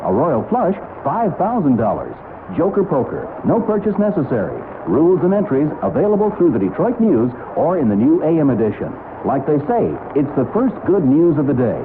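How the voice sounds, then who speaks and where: A man's voice: on the high side, big pitch swings, moderate pace. An American man reading a scripted promotional spot as a 1970s radio commercial announcer. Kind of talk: 1970s radio advertising read. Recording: noisy narrow-band 1970s radio recording.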